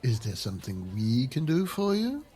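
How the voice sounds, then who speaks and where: An actor playing a large, quietly menacing hotel desk man in an audio drama, laconic and courteous. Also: mid-range voice, wildly swooping pitch, normal speed, husky. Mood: cool, courteous.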